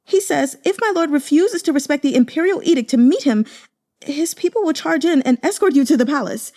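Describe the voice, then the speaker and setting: Panicked manservant acted by a woman, raised high pitch, shouting, stammering, frantic, storybook character. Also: expressive, fairly quick. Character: panicked, breathless male household servant.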